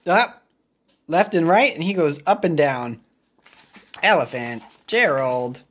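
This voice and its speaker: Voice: mid-range voice, lively intonation, slow, fairly bright tone. An English teacher reading a picture book aloud with playful murmured asides and small interjections. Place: indoors at a wooden table.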